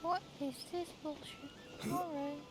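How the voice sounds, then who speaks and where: A woman's voice: high voice, steady tone, unhurried, very noisy. A woman game streamer commenting casually and playfully while she plays. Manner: playful, joking, sing-song.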